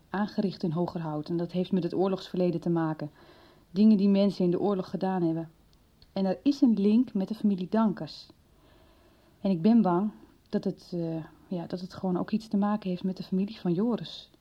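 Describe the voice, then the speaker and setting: A slightly muffled radio soap recording carries a mid-pitched woman played by an actress. She is a frank confidante who talks with concern, in dramatic dialogue from a 1990s broadcast. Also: moderate pace, close to an everyday voice. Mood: concerned, hesitant. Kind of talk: Dutch radio soap acting.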